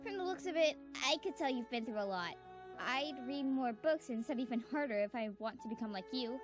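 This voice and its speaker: A woman voicing a young female unicorn student, an anxious, excitable bookworm who talks in frantic bursts. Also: sits high, fairly quick, music in the background. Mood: polite.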